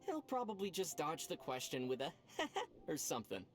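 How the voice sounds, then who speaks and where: An actor playing a calm, thoughtful young male traveler in a video game, soft-voiced and measured. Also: on the high side, soft background music. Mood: musing.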